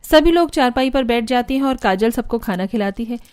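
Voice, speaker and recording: High-pitched woman narrating a cartoon story. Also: animated, a little fast, darkish tone, clean tone.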